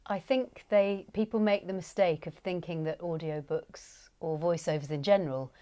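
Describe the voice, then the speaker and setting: A woman in her fifties, an audiobook narrator, chatting in a relaxed studio interview, chatty and self-deprecating. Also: lowish voice, moderate pace. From England. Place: recording studio.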